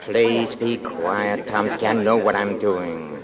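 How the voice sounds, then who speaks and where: A man's voice: on the low side, over a noisy crowd. A man playing an obsessed, murderous gambler lieutenant going mad, stammering and repeating himself, in 1940s radio drama style. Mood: tense. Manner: halting, stammering, repetitive, raving. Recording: dull 1940s radio recording, audience noise.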